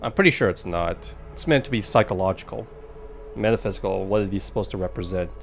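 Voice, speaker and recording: Male let's play host: lowish pitch, casual halting commentary, soft music underneath. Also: creaky. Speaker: game streamer. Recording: faint game music behind.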